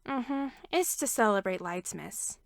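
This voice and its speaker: A woman's voice: medium pitch, unhurried, creaky, no echo, clean studio sound. A woman voicing a chatty, caring, nerdy woman inventor in a fanfiction audiobook, talking informally. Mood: subdued, hesitant. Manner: animated, informal, enthusiastic talk.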